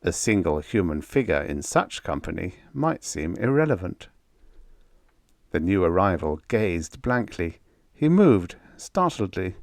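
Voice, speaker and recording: A man narrates a story for an audiobook. His voice sits fairly low and moves through a wide, animated range. The sound is dry, free of room echo. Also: a little slow.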